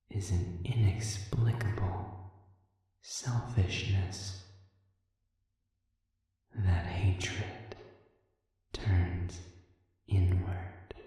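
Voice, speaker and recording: A performer voicing a sadistic, manipulative demon in a scripted audio roleplay, silkily taunting with slow, deliberate pauses and breathy near-whispers. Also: low-pitched. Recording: echoing hall reverb, distant dark sound.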